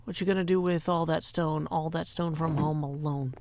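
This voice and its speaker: A man's voice: on the high side, fairly even intonation, moderate pace, noisy background. A middle-aged man from Nashville, chatting playfully on a live stream and sounding a little tired. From Nashville, Tennessee. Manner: playful, rhyming asides and long lists of greetings. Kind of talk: casual live-stream chat. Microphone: microphone on a stand.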